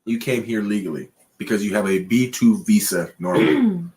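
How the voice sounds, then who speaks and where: A man co-hosting a casual late-night chat show, talking in a loose, rambling way and pushing his pitch up and down for emphasis. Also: big pitch swings, brisk, a little mellow, poor audio. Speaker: podcast co-host.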